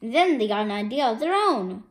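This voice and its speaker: High, animated woman storyteller. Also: normal speed. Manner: expressive, voicing sound effects. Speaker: read-aloud storyteller. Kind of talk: animated children's comic book narration.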